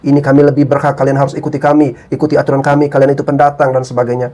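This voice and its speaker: A man's voice: mid-range voice, little pitch movement, rapid-fire, dark tone. A man hosting a channel, giving earnest commentary to his viewers. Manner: earnest, addresses viewers as guys.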